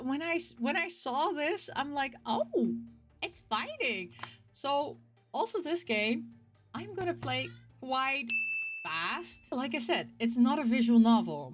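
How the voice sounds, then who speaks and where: A woman hosting a casual video about games, talking in an expressive, rambling way and repeating words for emphasis. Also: on the high side. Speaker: host of a game collecting show. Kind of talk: casual chat, showing game pick-ups.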